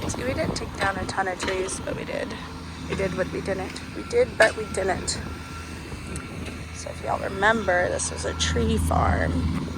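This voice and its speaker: A woman with a lilt talks through laryngitis, her voice hoarse and dropping into a breathy whisper. She rambles casually with fillers and restarts, like catching up with viewers. Loud traffic and vehicle noise run behind her. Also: medium pitch, fairly even intonation, measured pace. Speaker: vlogger and realtor. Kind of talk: casual vlog chat.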